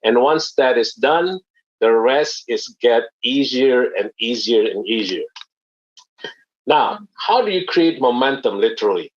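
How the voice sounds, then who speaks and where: A man from Indonesia explains his business methods in an earnest, plain way, pausing on fillers as he goes. His voice sits at a middling pitch, and the webinar audio is a little dull and muffled. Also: expressive. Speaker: network marketing presenter.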